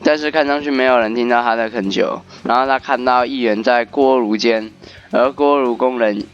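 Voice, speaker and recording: A man's voice: medium pitch, normal speed, smooth, lo-fi sound. A man doing casual playthrough commentary, narrating the story and reacting with exclamations. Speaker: gaming video commentator.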